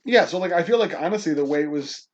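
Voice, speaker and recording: A man's voice: on the high side, lively intonation, fast talker, lo-fi sound. A young man co-hosting a podcast in casual chat, rambling and filling his talk with "like". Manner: rambling, heavy use of "like", many restarts. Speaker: podcast co-host.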